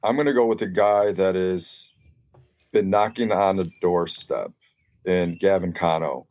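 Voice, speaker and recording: A man's voice: sits low, a little slow, a little mellow, no room sound. A man talking casually as a guest on a sports podcast, reflecting as a former competitor.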